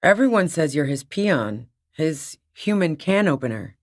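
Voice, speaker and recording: A woman's voice: sits low, big pitch swings, measured pace, full character voice. An actress playing a tough, wary, sardonic woman on the run in a video game, speaking bluntly. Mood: matter-of-fact, confiding. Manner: blunt, sardonic, foul-mouthed. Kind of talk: video game character dialogue.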